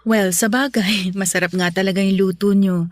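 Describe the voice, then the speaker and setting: An actress playing a generous middle-aged neighbor in a Filipino radio drama, chatty and coaxing. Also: female voice, mid-range voice, fairly even intonation, moderate pace, no room sound, natural voice. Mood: cheerful, persuasive. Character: Tita Nemya, generous middle-aged neighbor lady, reconciling.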